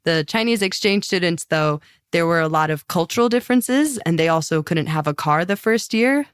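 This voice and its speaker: A woman's voice: fairly low pitch, expressive, moderate pace, clear, resonant tone, dry recording, studio quality. A young American woman, a guest on a podcast, chatting casually and upbeat with many "like" fillers. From United States. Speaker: podcast guest, language learner and singer. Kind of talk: casual interview chat.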